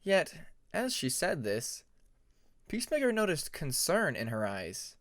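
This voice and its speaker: A man's voice: a little high, wildly swooping pitch, a little slow, dry recording, studio quality. A man reading a fantasy story aloud as a scripted audiobook narration. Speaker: audiobook narrator of fan fiction.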